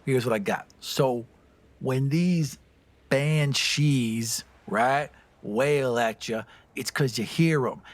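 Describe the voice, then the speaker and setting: A man's voice: mid-range voice, slow pace. A man playing a tabletop game, chatting casually in a jokey, self-deprecating and profane way.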